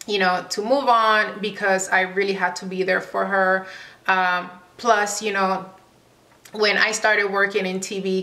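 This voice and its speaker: Bright-voiced woman with a Spanish accent. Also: mid-range voice. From Panama. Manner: chatty, laughs often, many fillers. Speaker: vlogger host. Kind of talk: casual Q and A chat to camera.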